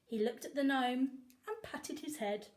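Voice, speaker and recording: A woman's voice: high voice, unhurried, darkish tone. A woman reading a picture book expressively to children, emphatic and sing-song on rhymes.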